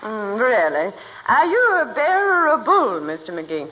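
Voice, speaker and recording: A grand society lady, haughty and condescending in old radio comedy style. Her high voice swoops theatrically through genteel phrases. The recording is dull and dated. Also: wildly swooping pitch, a little slow. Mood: grandly polite. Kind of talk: 1940s radio comedy acting. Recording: muffled 1940s radio recording.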